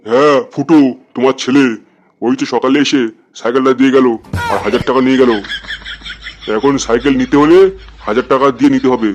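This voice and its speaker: A man playing a gruff, defiant neighbourhood fellow in a comedy cartoon, answering curtly. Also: medium pitch, fairly even intonation, brisk, pauses often, soft background music, close to an everyday voice.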